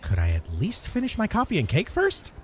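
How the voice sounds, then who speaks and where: A man's voice: high voice, huge pitch swings, brisk, a little hiss or hum. A man playing an earnest, sarcastic superhero in a comedic ASMR roleplay, talking straight to the listener with grudging, wry concern. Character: earnest, wry male superhero griping at a cafe.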